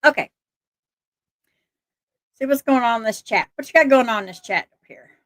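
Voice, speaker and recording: Chatty hoarse woman livestreamer. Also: fairly high pitch, moderate pace, a bit bright, no room sound, studio quality. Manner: chatty, laughs often, restarts words. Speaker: livestream host reading missing persons flyers. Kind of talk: casual livestream chat and flyer reading.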